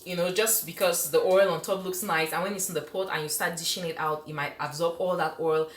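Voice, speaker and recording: A woman's voice: fairly low pitch, steady tone, fairly quick, reverberant, a little hiss or hum. A woman from Cameroon hosting a live cooking stream in her home kitchen, chatty and enthusiastic, laughing often as she reads out viewer comments. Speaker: cooking show host.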